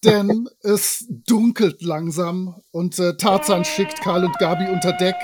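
A man's voice: high-pitched, steady tone, unhurried, background noise. A man co-hosting a podcast, casually reviewing an audio drama in a colloquial, wry way. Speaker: podcast co-host.

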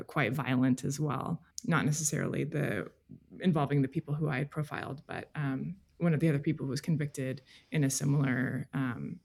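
A woman journalist speaking as an interview guest, explaining things in a measured, detailed, conversational way. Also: rumbling low, creaky, darkish tone. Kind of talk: conversational interview, recounting reporting.

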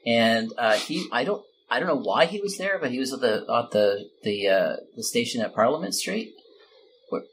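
A man's voice: medium pitch, sing-song, normal speed, fairly bright tone, a little hiss or hum, poor audio. A man hosting a casual podcast chat in a joking, teasing manner. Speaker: podcast host.

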